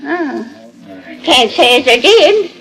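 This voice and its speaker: A woman's voice: high voice, animated, slow pace, vocal fry, heavily acted, poor audio. A woman playing a tough but easily flustered old Western lady with a rustic American way of talking, in 1940s radio acting style. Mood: uncertain, obliging. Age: older.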